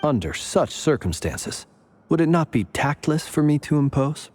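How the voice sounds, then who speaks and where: An actor playing a polite, slyly persuasive gentleman in a video game, speaking formally with wry courtesy. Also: fairly high pitch, very animated, normal speed, slight background noise. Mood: wry, courteous. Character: polite, slyly persuasive, smooth-talking gentleman, adult male. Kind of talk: video game character acting.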